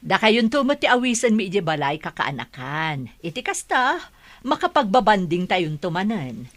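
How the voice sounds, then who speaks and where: Lively, kindly middle-aged auntie played by a woman. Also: mid-range voice, moderate pace, no room sound, natural voice. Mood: kindly, encouraging. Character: middle-aged mother and aunt, kindly, encouraging. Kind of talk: radio drama acting.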